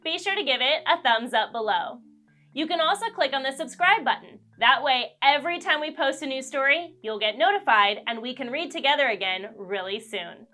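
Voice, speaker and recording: A woman reading a children's storybook aloud in an expressive way, speaking directly to young listeners. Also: high voice. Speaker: children's read-aloud host. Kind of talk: animated children's storybook narration.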